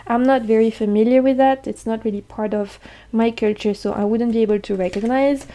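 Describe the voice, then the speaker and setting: Mellow-voiced chatty woman. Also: a little high, fairly even intonation, a little fast. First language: French. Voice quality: chatty, enthusiastic, laughs often. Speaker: tarot deck collector. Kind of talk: casual vlog chat showing decks.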